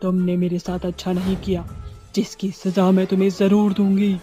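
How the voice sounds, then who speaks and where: A woman's voice: medium pitch, flat delivery, moderate pace, mellow, soft background music, natural voice. A woman playing a vengeful ghost witch of a wronged bride, menacing and theatrical, in a horror cartoon. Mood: gloating, menacing.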